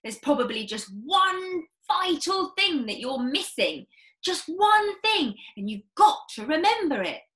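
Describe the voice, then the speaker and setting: A woman voicing an excitable, bossy farmer father who shouts eagerly. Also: high voice, measured pace, reverberant, pitched above the performer's own voice, full character voice. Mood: excited.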